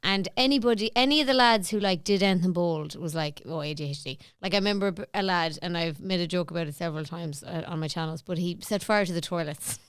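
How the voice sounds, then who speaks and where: A woman's voice: fairly low pitch, fast talker, few pauses, clean tone, no room sound, clean studio sound. A woman from the west of Ireland with an Irish accent, chatting casually as a podcast host. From County Mayo, Ireland. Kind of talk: casual conversational chat.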